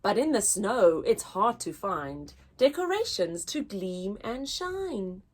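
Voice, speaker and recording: A woman's voice: mid-range voice, very animated, slow, breathy edge. A woman storyteller reading a children's storybook aloud, expressively, with a sing-song rhyming delivery.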